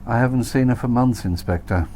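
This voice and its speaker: A man acts out an offhand, evasive male hero in audiobook dialogue. The voice sits higher than narration, mellow, with slight noise behind it. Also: fairly quick, close to an everyday voice. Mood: feigned nonchalance. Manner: nonchalant, offhand.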